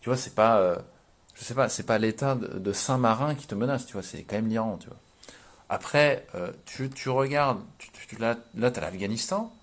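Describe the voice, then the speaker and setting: Male, thirties, medium pitch, casual vlogger, slangy phrasing, hesitant and repetitive, explanatory. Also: moderate pace. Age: 30s. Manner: informal, slangy speech; hesitant, repetitive, thinking aloud.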